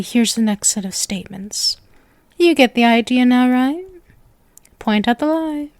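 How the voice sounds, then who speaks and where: A woman's voice: high voice, darkish tone, no room sound. A woman playing a sweet, possessive, lovestruck young witch in an ASMR roleplay, coy and teasing as she addresses the listener. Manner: coy, teasing, sugary with menacing devotion.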